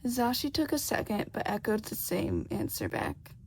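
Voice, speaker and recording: A woman's voice: on the high side, huge pitch swings, moderate pace, gravelly, a little mellow, a little hiss or hum. A woman reading a story aloud as scripted narration in an amateur audiobook style.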